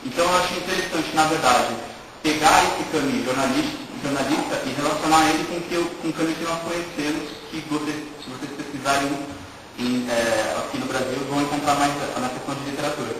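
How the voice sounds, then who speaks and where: A young man with a Brazilian accent gives an informal academic presentation as a student, haltingly, often repeating words. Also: on the high side. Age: young adult. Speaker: undergraduate student presenting research. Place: indoor room at an academic conference. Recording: echoey room, distant mic, loud noise.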